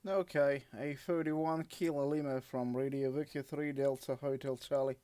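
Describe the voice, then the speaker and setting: A man with a Russian accent chatting as an amateur radio operator, with hesitant, halting phrasing. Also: medium pitch, fairly even intonation, mellow, dry recording. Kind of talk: casual radio contact chat.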